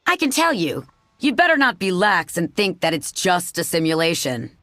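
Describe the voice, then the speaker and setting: A woman's voice: lowish voice, big pitch swings, normal speed, pushed and bright, no echo, faint music behind. A woman voicing a strict, no-nonsense combat coach in a video game, with a stern, clipped, commanding manner. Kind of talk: English video game voice acting.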